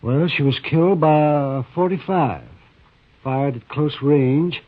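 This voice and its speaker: An actor playing an older frontier doctor who speaks in a slow, matter-of-fact drawl. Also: male voice, fairly high pitch. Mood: weary. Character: frontier town doctor, man, older, dry and weary. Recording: tinny noisy old radio recording.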